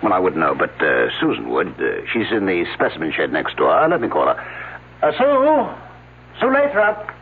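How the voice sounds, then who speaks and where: A man's voice: low-pitched, wildly swooping pitch, normal speed, slight background noise, full character voice. An actor playing a hesitant male archaeology professor who hems and haws. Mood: fussy. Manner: hesitant, full of uh's. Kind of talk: 1970s radio drama acting. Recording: tinny old radio broadcast.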